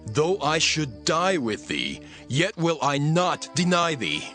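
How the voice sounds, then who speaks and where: A man's voice: a little high, big pitch swings, takes it slowly, bright, forceful tone, full character voice. An actor playing an earnest, fervently loyal male disciple in a dramatized scripture reading, speaking emphatically. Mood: defiant, loyal. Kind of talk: dramatized scripture, archaic English. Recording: voice over prominent music.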